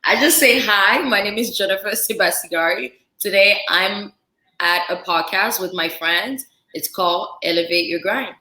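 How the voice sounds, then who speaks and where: A woman from Africa, a guest chef telling stories in a lively, laughing casual chat. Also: medium pitch, moderate pace, roomy sound, rough recording. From Central Africa. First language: French. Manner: lively storytelling with frequent laughter.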